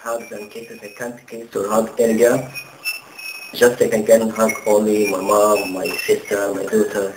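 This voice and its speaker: A man's voice: on the low side, level tone, unhurried. A man from Egypt, an international student speaking in a casual interview testimonial. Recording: echoey room with clear noise.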